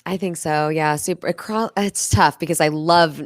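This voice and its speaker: A woman's voice: deep voice, fairly even intonation, normal speed, dry recording, studio quality. A woman chatting casually as a panelist on a comedy show. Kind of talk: casual chat.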